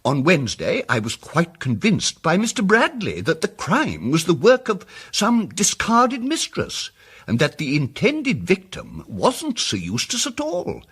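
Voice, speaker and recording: A diffident English gentleman detective from a radio mystery. His voice is husky and a little high, swinging widely in pitch. He is polite and apologetic, feeling his way into sentences yet gently persisting. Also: wildly swooping pitch, a little slow, exaggerated voice. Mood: earnest, diffident. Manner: hesitant, polite, circling, stammering starts. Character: timid, diffident, apologetic amateur sleuth gentleman, quietly persistent. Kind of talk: radio mystery drama acting.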